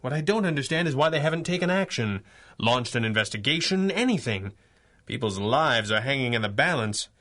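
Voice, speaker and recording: A man's voice: mid-range voice, animated, fairly quick, fairly bright tone, close to an everyday voice. A man playing a stern, dashing masked crimefighter in 1930s-style radio adventure drama, with clipped, formal, dramatic delivery. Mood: grimly righteous.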